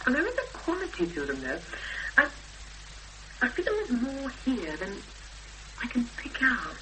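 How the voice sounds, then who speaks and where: An anxious young woman with a highish, lively voice in a seventies radio drama. She is determined yet hesitant, thinking as she goes. The old broadcast recording is clearly hissy. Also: heavily acted, rough recording. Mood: thoughtful. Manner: hesitant, thoughtful, with pauses. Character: anxious, determined young woman seeking her missing sister. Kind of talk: 1970s radio drama acting.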